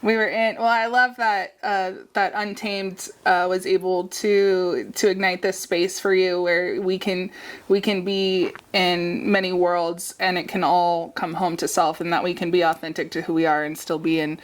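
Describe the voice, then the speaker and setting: A woman hosting a heartfelt conversation, reflective and hesitant, with many pauses and "um"s. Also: lowish voice, level tone. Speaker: podcast host.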